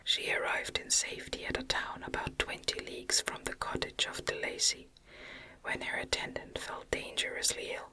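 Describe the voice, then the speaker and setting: A woman gently reading a gothic novel aloud as ASMR, in a breathy, measured whisper. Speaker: audiobook reader.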